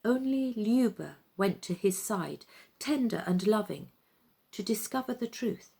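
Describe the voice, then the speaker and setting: A woman's voice: medium pitch, lively intonation, unhurried, creaky, warm tone, breathy edge. A woman reading a story aloud dramatically, with theatrical, suspenseful phrasing.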